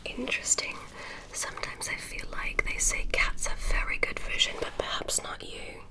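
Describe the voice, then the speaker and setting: A woman voicing a gentle, curious female alien doctor, speaking in a soft, polite, inquisitive whisper close to the mic. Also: moderate pace, lightly acted, low-quality recording. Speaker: ASMR creator. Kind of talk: whispered ASMR roleplay. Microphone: close-up ASMR mic.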